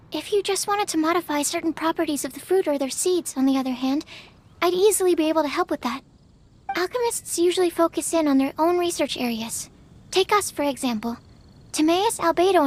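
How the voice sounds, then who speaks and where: A woman playing a shy, polite young female alchemist in a video game, earnest, studious and hesitant in manner. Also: very high-pitched. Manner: hesitant, apologetic, earnest explaining.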